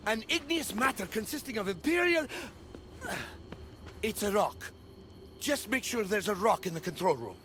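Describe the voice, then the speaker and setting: A man playing an excitable, apologetic botanist in a video game, eagerly explaining in wordy scientific jargon. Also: high-pitched, moderate pace, pauses often, slight background noise, full character voice. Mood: excited. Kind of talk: video game cutscene acting.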